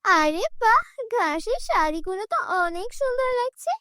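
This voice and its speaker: Cartoon casting: proud, earnest village girl voiced by a woman, extremely high, plaintive, emphatic, dry recording. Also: animated, moderate pace, sharp tone, no room sound, exaggerated voice. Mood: delighted. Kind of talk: animated fairy-tale cartoon dubbing.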